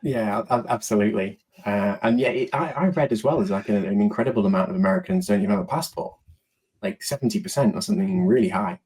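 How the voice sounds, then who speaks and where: A man hosting a podcast interview, upbeat and quick with short affirmations. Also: lowish voice, a little mellow, rough recording. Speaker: podcast host.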